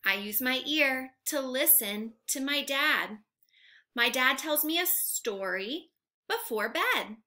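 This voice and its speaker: Bright, high-pitched, slow woman teacher. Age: young adult. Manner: animated, exaggerated, enunciated for little learners. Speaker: teacher reading aloud to young children. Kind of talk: child-directed read-aloud with simple questions. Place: living room at home, indoors.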